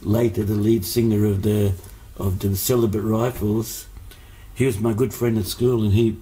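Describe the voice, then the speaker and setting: A man's voice: on the low side, little pitch movement, normal speed, dark tone, poor audio. A man, born in Hungary, telling stories as a musician guest in a casual interview, rambling with many fillers and restarts.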